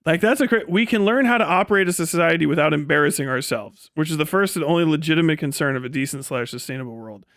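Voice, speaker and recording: High-voiced man, sweary and incredulous. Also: fairly bright tone, clean tone, no room sound, studio quality. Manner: breaks often into laughter while talking. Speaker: live streamer. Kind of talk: casual rant and commentary to a stream audience.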